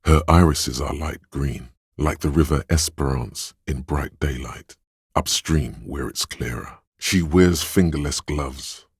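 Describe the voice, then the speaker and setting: Very deep, husky inner voice. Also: male voice, unhurried, dry recording, studio quality, heavily acted. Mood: observant. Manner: wry, observant, dryly teasing. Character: inner perceptive voice, observant adult male. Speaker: voice actor. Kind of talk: second-person game narration.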